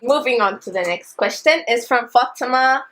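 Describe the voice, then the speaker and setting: A woman, the host's younger sister, slowly reading viewers' questions from behind the camera with the odd teasing interjection. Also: a little high, expressive, a bit bright.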